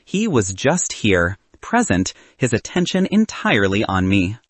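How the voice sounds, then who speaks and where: A man narrates a scripted romantic comedy in the first person. His voice is slightly husky and moves animatedly in pitch, and the recording is close and dry. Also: big pitch swings, a little slow, no echo. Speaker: audiobook narrator.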